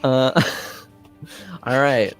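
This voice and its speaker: Male game master for a tabletop RPG, unhurried and halting, improvised narration over background music. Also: medium pitch, fairly even intonation, clear, resonant tone. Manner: hesitant, halting delivery.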